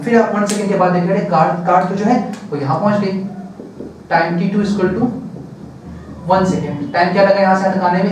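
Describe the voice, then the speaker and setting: High-voiced man teaching in an echoey room. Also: darkish tone, recorded from a distance, slight background noise. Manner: slow and step-by-step, repeats words, asks and answers his own questions. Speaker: physics teacher. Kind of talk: classroom lecture at a board.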